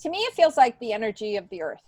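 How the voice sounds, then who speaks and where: A woman's voice: fairly high pitch, very animated, quick pace, darkish tone, dry recording, clean studio sound. A middle-aged woman, a podcast guest, telling stories in a casual, chatty, meandering way. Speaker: podcast guest, stand-up comedian. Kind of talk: casual conversational storytelling.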